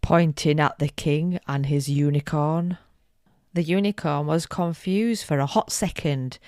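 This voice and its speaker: A woman's voice: low-pitched, unhurried, a little mellow, no echo, clean studio sound. A middle-aged woman narrating a scripted bedtime story as a podcast co-host.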